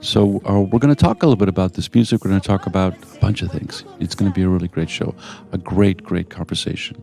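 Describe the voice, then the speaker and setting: Male radio host, very low and gravelly voice, eager and rambling, light music under the talk. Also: fast talker, darkish tone. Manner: enthusiastic, rambling storyteller. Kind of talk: interview. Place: venue in Lisbon with music behind.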